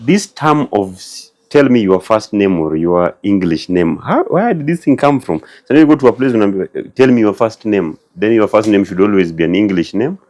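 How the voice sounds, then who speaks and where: A man's voice: on the low side, animated, moderate pace, few pauses, darkish tone. A man hosting an interview, asking curious, probing questions. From Kenya. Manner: curious, probing questions with frequent murmured agreement. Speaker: host. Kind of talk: interview conversation.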